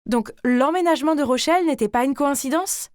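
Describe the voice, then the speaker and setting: A woman narrates a scripted animated story in the first person. Her voice sits fairly high and she delivers it theatrically and expressively, as a teenage girl would tell her own drama. The sound is very dry, with no room around it. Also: a little fast, no echo.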